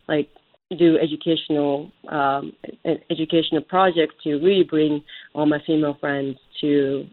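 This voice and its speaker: A woman's voice: sits low, narrow, tinny audio. A woman from Japan, answering interview questions conversationally and searching for words as she goes. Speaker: interview guest, startup co-founder.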